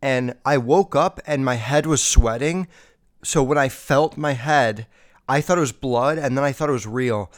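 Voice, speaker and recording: A man's voice: mid-range voice, moderate pace. A young man hosting a casual solo podcast, rambling and swearing a lot, sounding slightly nasal and a bit under the weather. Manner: rambling, heavy swearing, many fillers. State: feeling sick. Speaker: podcast host. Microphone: podcast microphone.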